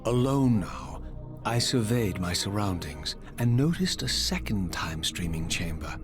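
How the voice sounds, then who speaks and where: An actor playing a brooding, vengeful undead wraith who narrates a game story in solemn, formal, eloquent language. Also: male voice, on the low side, sing-song, a little slow. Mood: wary, reflective. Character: brooding undead male wraith, former vampire and priest, vengeful. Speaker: narrator and protagonist. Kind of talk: theatrical first-person scripted narration. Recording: loud music behind the voice. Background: loud game music.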